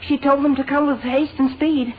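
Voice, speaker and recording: A woman's voice: high voice, fairly even intonation, moderate pace, lightly acted. A woman playing a timid, fat little cook in an old radio serial, stammering and speaking in singsong rhyme. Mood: frightened, earnest. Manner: flustered, stammering, singsong rhyming. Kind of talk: 1940s radio acting. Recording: tinny, noisy old radio recording.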